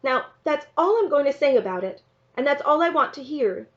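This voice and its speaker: Woman reading as strict worried country mom, firm and emphatic, high voice, bit lo-fi. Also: lively intonation, moderate pace. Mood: firm, exasperated. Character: stern, worried rural mother scolding her child. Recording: slightly dull, lo-fi sound.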